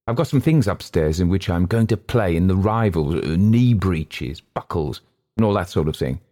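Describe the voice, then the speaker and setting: A man voicing an eager, boastful gentleman amateur actor with a theatrical, cocky manner in a storybook reading. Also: low voice, animated, moderate pace.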